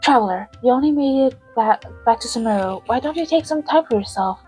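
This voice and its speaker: Kindly young leader for a game fan dub , woman performer, calm, courteous and sincere. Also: a little high, a little fast, faint music behind, close to an everyday voice. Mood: gentle, apologetic. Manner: calm, polite, considerate. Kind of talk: amateur fan voice-over of game dialogue.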